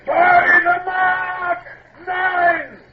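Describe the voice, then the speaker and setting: An actor playing a ship's lookout, bawling chanted, drawn-out calls from a distance in an old radio drama. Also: male voice, extremely high pitch, completely flat delivery, dull audio, music in the background, a crowd in the background, exaggerated voice. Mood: calling out. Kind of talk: 1950s radio drama acting.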